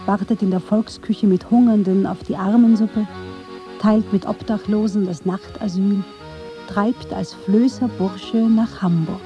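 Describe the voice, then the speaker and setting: A woman's voice: medium pitch, fairly even intonation, measured pace, dull audio, soft background music. A woman reading scripted narration for a radio documentary.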